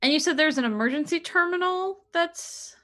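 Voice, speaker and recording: A woman's voice: very high voice, normal speed, pushed and bright. A woman playing a tabletop role-playing game, thinking aloud and deciding her character's moves hesitantly. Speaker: player.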